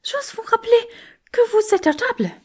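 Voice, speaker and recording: A woman's voice: very high voice, expressive, a little fast, pitched above the performer's own voice. A woman voicing a tiny, stern female servant creature, formal and scolding, in an audiobook.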